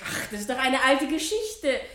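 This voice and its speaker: A high-voiced, frivolous society wife. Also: female voice, sing-song, moderate pace, pushed and bright, slight background noise, heavily acted. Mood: breezy, complacent. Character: frivolous, complacent society wife, breezily carefree. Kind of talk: 1970 German radio drama acting. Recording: roomy vintage radio drama recording.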